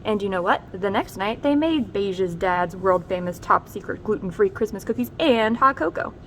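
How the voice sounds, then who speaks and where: A woman presenting a read-aloud, narrating a children's storybook expressively with measured emphasis on names and key phrases. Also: medium pitch, animated, slight background noise, rough recording.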